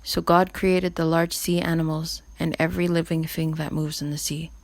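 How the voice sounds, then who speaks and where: A woman's voice: low voice, level tone, moderate pace, gravelly, no echo. A woman reading scripture as scripted narration. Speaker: volunteer scripture reader.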